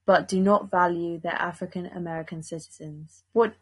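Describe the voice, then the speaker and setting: A woman's voice: lowish voice, fairly even intonation, normal speed, husky. A young woman hosting a podcast, presenting steadily from a script. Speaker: podcast host.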